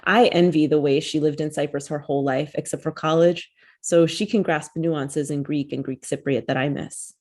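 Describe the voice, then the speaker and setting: A woman author reads from her own essay in a calm, reflective rhythm. Her voice sits a little low for a woman. She is heard through a video call microphone. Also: normal speed. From United States.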